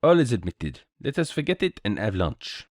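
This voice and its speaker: A man's voice: lowish voice, sing-song, normal speed, no echo, clean studio sound. A man voicing a small, cheerful, self-assured detective with a courteous, precise, theatrical manner, in a storybook reading. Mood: brisk, cheerful.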